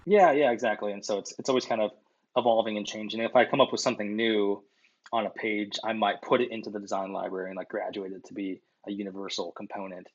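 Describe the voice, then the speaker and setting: A man's voice: on the low side, steady tone, quick pace. A man in his thirties, a designer guest, chatting over a video call from his home office, with lots of fillers. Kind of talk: casual interview answers. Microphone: video call webcam. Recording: dull lo-fi video call audio.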